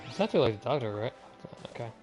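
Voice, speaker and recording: A man's voice: medium pitch, big pitch swings, rapid-fire, a little mellow, soft background music. A man livestreaming a game, reacting in a casual, exclamatory way. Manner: exclamatory, informal.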